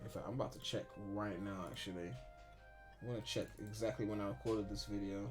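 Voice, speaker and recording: A man's voice: fairly low pitch, steady tone, fairly quick, over music. A man in his twenties from Ghana, chatting casually on a live stream at home, rambling and candid with lots of filler and swearing. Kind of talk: casual live-stream chat answering viewers.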